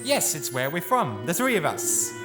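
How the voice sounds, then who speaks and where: An actor playing a timid, anxious talking lion in a fantasy audio drama, earnest and a little touchy. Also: male voice, fairly high pitch, sing-song, normal speed. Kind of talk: full-cast fantasy audio drama acting. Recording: roomy echo, faint music.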